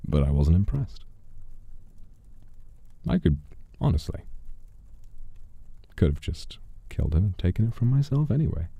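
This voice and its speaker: A young man streamer telling a story in character, slow and theatrical, dipping into hushed whispers. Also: low voice, sing-song, gravelly, husky, no room sound.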